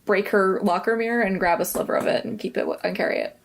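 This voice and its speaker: A woman's voice: medium pitch, fairly even intonation, quick pace, vocal fry. A woman chatting casually as a player at a tabletop game.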